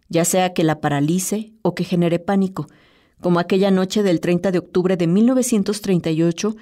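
A woman's voice: on the low side, warm tone. A woman narrating a scripted personal essay as a radio presenter. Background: music.

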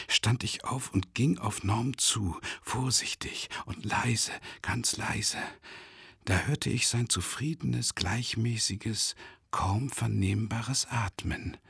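An actor playing a tormented, self-doubting man who narrates a radio drama monologue in a brooding, intense way, with a gravelly, husky voice. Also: fairly low pitch, a bit bright, no echo, studio quality, full character voice. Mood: anxious, hushed. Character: tormented, self-doubting, impoverished male travelling lecturer. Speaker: first-person narrator.

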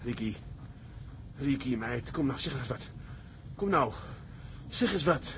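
An actor playing a blunt tugboat captain, stern but caring, in a naturalistic drama. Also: male voice, animated, takes it slowly. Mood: alarmed, urgent. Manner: blunt, scolding. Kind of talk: naturalistic Dutch drama acting. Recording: clear engine rumble and ship noise.

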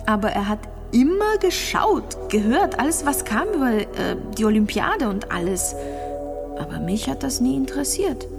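An actress playing a gravely ill, lonely young woman in a radio drama, speaking haltingly as she recalls things and repeats herself. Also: fairly high pitch, very animated. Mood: nostalgic. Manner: halting, searching, repeats phrases. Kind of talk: radio drama monologue, intimate recollection. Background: music.

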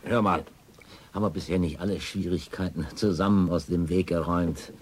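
An actor playing a cool, steady, reassuring partner in a radio crime drama. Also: male voice, sits low, brisk, husky. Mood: calm, reassuring. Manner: composed, reassuring. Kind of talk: German radio drama acting.

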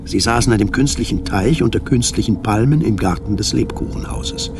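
An actor as the narrator of a science fiction radio drama, reading dramatic scripted narration. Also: male voice, fairly low pitch, fairly quick, lightly acted. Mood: descriptive. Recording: music under the voice.

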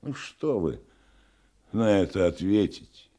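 An actor playing an elderly murder suspect in a radio drama, sly and defiant, with a theatrical, mocking delivery. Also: male voice, low-pitched, lively intonation, unhurried, warm tone. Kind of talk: Russian radio drama acting.

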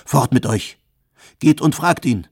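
A man's voice: medium pitch, animated, a little slow, darkish tone, breathy edge, no echo, clean studio sound, pitched above the performer's own voice. A man voicing a stern, commanding imam who thunders at a crowd. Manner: thundering, authoritative.

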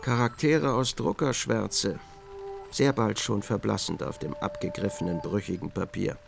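A man narrating a literary radio drama as an observant, measured storyteller. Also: fairly low pitch, creaky, over music.

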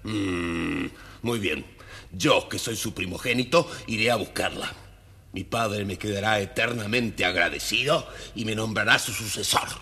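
A man's voice: on the low side, unhurried. A man voicing a rude, self-important young prince, haughty and brusque, in a children's audio story.

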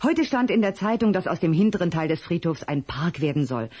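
A woman playing a worried, reproachful mother in a children's radio play, reasonable yet exasperated, with a husky voice. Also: on the low side, big pitch swings, a little fast, darkish tone. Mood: reproachful, concerned.

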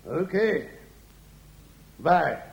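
A man's voice: a little high, big pitch swings, drawn-out words, vocal fry, exaggerated voice. A man playing a whiny, bumbling police inspector who exclaims and questions in a comic radio play. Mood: flustered. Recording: echoey room, faint noise.

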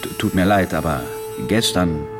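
A man playing a dignified, dryly reproachful imam in a radio drama, speaking in a measured way. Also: sits low, music in the background, lightly acted. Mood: earnest. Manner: measured, dry. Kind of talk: naturalistic radio drama acting.

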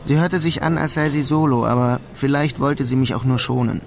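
An actor plays a lovelorn young man stuck in a menial job, sardonic and bruised. His brooding delivery suits a radio crime drama. Music plays clearly beneath his voice. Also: medium pitch, fairly even intonation, fairly quick, lightly acted. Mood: wry narrating. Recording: radio play with loud music underneath.

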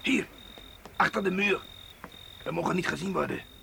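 Noisy, shady threatening man, high for a guy, super creaky, radio play. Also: moderate pace. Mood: furtive, threatening. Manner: furtive, curt. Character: furtive, threatening male attacker in hiding. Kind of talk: radio drama acting. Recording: clearly noisy background.

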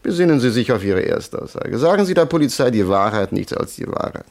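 Creaky, taunting gangster. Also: male voice, medium pitch, very animated, brisk. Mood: menacing, taunting. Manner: taunting, mock-polite, threatening. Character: menacing, mocking gangster henchman leader threatening a shopkeeper. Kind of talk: German crime radio play acting.

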